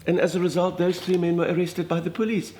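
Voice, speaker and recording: A grand older lady with a thick Afrikaans accent, as a man puts her on, gushing through a story with dramatic exclamations. The voice sits a little high, full of theatrical flourish. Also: completely flat delivery, quick pace, mellow, lightly acted. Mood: gossipy. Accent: Afrikaans South African. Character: chatty older Afrikaner woman, minister's wife, theatrical. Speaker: comedic monologist. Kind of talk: comic storytelling monologue.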